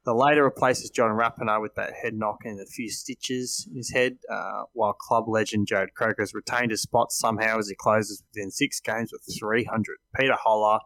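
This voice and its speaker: A man's voice: lowish voice, a bit bright, no echo, rough recording. An Australian man giving casual sports team analysis as a podcast panellist.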